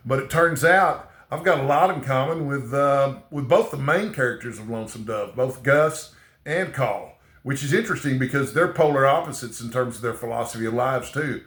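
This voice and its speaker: A man's voice: medium pitch, lively intonation, fairly bright tone, roomy sound. A man with a slight Texan accent chatting casually to camera, rambling and often tagging his sentences with "okay?". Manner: rambling, repeats "okay?" often, halting restarts. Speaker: booktuber.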